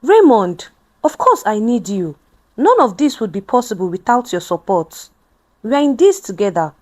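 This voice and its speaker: A woman voicing a gentle, conscientious wife and young mother in a narrated story, soft-hearted in manner. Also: a little high, big pitch swings, normal speed. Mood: reassuring.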